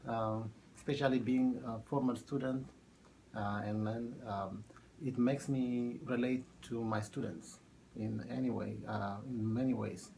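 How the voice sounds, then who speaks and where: A man in his thirties from Ethiopia, a math teacher answering oral history questions in a halting way with many fillers. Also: mid-range voice, fairly even intonation, creaky, warm tone, slight background noise, poor audio. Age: 30s.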